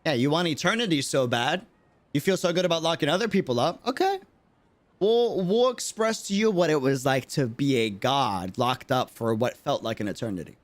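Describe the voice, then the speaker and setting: A man's voice: a little high, animated, normal speed. A man giving casual livestream game commentary, earnest and slangy, often repeating himself for emphasis. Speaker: streamer.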